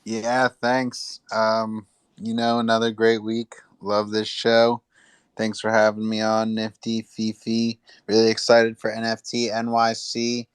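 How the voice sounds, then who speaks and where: A man's voice: on the low side, monotone, a little slow, clean tone, no room sound. A man co-hosting a live online panel, giving casual, slangy promotional plugs. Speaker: podcast co-host and promoter.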